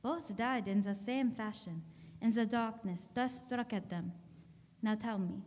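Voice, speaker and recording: Actress as commanding woman doctor, slightly high voice, deliberate, brusque, grave, hall reverb, radio drama. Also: a little mellow, natural voice.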